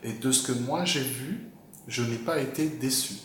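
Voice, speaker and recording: Measured, articulate man in an echoey room. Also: mid-range voice, a bit bright, slight background noise. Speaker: patient's husband. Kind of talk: interview testimony.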